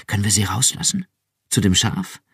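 A man's voice: lowish voice, sing-song, moderate pace, gravelly, fairly bright tone, husky, no room sound, studio quality, exaggerated voice. A man voicing an earnest young wife who pleads insistently and fervently. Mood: tender.

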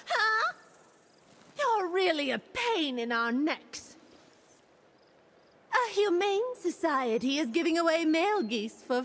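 A woman's voice: sits high, full character voice. A woman voicing a cutesy, playful young goose-themed gorgon for a video game, punning in a sing-song, cheery manner. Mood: teasing, sly. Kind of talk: video game character voice lines.

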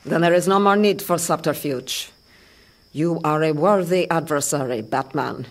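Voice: mid-range voice, moderate pace, lightly acted. An actor playing a proud, vengeful female martial-arts assassin in a motion comic, formal and haughty. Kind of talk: motion comic villain acting.

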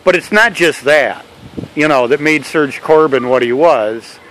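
A man interviewing a canoe racer, building his questions slowly and rambling a little. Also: fairly high pitch, a bit bright, a little hiss or hum, rough recording.

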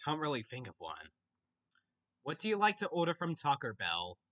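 Bright, animated young Australian man. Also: a little high. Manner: halting, with frequent restarts and pauses to think. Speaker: vlogger answering viewer questions. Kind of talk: casual Q&A chat. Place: small bedroom, indoors.

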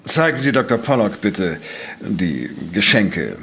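A man's voice: lowish voice, measured pace, slight background noise, exaggerated voice. A man playing a courteous, formal, authoritative professor detective in a German audio drama. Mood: calm, probing. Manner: formal, precise, calm.

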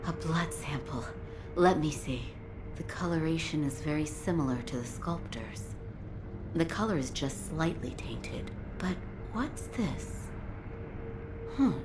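A woman playing a composed, gentle physician in a video game, soft-spoken, formal and quietly wry. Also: low-pitched, animated, measured pace, background noise. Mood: serious, thoughtful.

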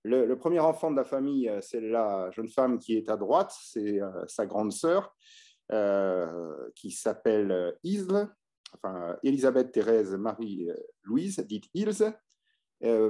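A man's voice: on the low side, mellow. Hesitant French-speaking male history lecturer. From France. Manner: hesitant, many filler sounds and restarts. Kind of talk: unscripted illustrated history talk.

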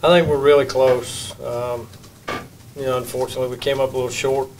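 An older man, a baseball head coach, answering questions at a postgame press conference in a plain, measured way at a table microphone. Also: medium pitch, level tone, a little hiss or hum, low-quality recording. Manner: measured, plain-spoken, many restarts. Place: press room. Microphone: table mic.

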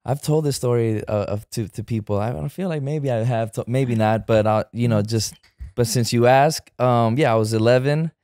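A man in his thirties from the San Francisco Bay Area, chatting as a guest actor in a relaxed interview, full of fillers and restarts. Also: medium pitch, moderate pace, hardly any pauses, dry recording, studio quality. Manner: hesitant, many fillers and restarts. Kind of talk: casual interview chat. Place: living room with sofa. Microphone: studio mic on arm.

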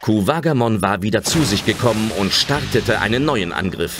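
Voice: medium pitch, lively intonation, moderate pace, over music, close to an everyday voice. A narrator telling a children's adventure audio drama in dramatic scripted narration.

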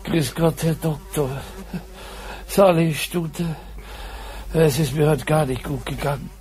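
Ailing innkeeper, radio play, higher-pitched, dragging pace, querulous complaints. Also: male voice, takes it slowly, darkish tone. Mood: weary, irritable. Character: sickly, grumpy innkeeper worn down by illness. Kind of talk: radio drama acting.

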